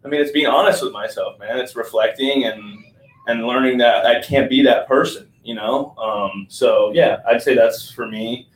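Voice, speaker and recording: A man's voice: medium pitch, moderate pace. A man in his twenties, a guest athlete, speaking earnestly and reflectively in a candid podcast chat, with lots of fillers. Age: 20s. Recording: echoey room.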